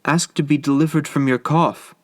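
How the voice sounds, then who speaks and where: A desperate young wife pleads in a breathless, urgent rush, as a male reader puts her on. The voice rises higher than a plain reading, and the recording is dry and close. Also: fairly even intonation, normal speed, dry recording, natural voice.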